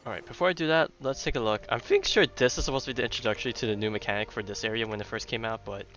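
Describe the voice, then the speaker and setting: A man's voice: mid-range voice, rapid-fire, fairly bright tone. A man giving casual, offhand commentary as he streams a video game.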